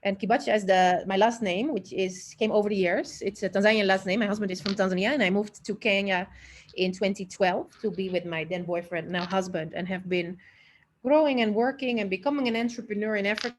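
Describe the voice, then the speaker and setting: A woman's voice: medium pitch, fairly even intonation, a little fast. Woman on a noisy online call. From the Netherlands. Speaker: managing director introducing herself as seminar speaker. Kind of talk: informal self-introduction on an online seminar. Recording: noisy internet call audio.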